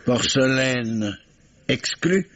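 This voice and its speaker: An actor playing a courteous, dryly ironic French police commissioner, questioning in measured fashion in a 1950s-style radio drama. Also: male voice, mid-range voice, expressive, a bit bright, full character voice. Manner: measured questioning with dry irony. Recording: dull vintage radio recording.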